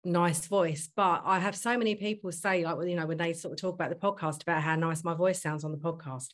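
A middle-aged woman hosting a podcast, chatty and self-deprecating, sounding a little husky today. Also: fairly low pitch, steady tone, fast. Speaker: podcast host. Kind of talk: podcast intro and casual chat.

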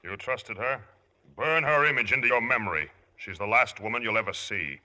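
An actor playing a cruel, gloating ancient military commander in a 1950s radio drama. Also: male voice, on the low side, normal speed, pushed and bright. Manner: commanding, menacing, theatrical. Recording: hissy old radio recording.

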